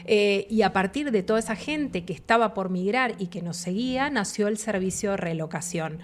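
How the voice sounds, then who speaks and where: A woman's voice: mid-range voice, normal speed, a little hiss or hum. A woman from Argentina with an Argentine accent, a relocation agent giving a fluent, explanatory radio interview. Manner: fluent, explanatory, animated asides.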